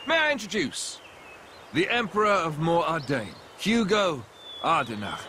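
An easygoing young swordsman prince from a game, courteous and earnest. The voice is high and bright with hugely varied pitch. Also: very animated, unhurried, a little hiss or hum, exaggerated voice. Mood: courteous, relaxed. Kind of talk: video game cutscene acting.

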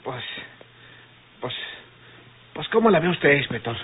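An actor playing a frightened, wounded outlaw in an old radio soap, strained and pleading. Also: male voice, on the high side, big pitch swings, slow, husky, exaggerated voice. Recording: tinny band-limited old radio drama.

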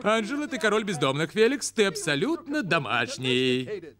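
A man's voice: high voice, big pitch swings, normal speed. An actor voicing a bossy male owl who lectures theatrically like a nature-show presenter in a cartoon dub. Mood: lecturing. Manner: didactic, theatrical.

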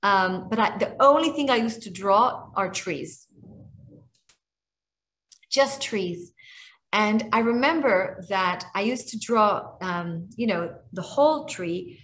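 A woman in her forties with an Israeli accent and Hebrew as her first language, speaking earnestly on a video call from a small room at home, often restarting her sentences. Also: medium pitch. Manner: earnest, warm, restarts often, slows on emotional points. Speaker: guest speaker and author. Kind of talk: heartfelt talk on a video call. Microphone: webcam on a video call.

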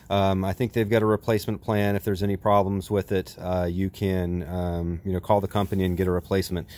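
American male, 40s, lowish voice, folksy outdoor product reviewer, recorded in a backyard. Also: a little mellow.

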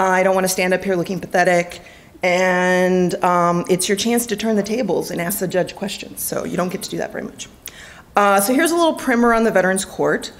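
A middle-aged woman with a fairly low voice delivers a prepared keynote from a lectern microphone. She speaks as a judge, steady and measured, with dry, self-deprecating asides. The sound is that of a conference hall.

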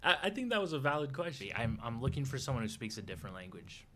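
A man's voice: mid-range voice, big pitch swings, fast talker, pushed and bright. Young male host, teasing and hyped, noisy webcam stream. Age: young adult. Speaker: video host chatting with strangers. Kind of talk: casual online chat. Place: small room indoors.

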